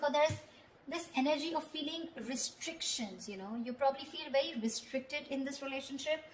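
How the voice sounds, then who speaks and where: A woman giving an improvised tarot reading, talking directly to the listener in a conversational way and often checking in. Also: high-pitched. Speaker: tarot reader. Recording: echoey room with faint background noise.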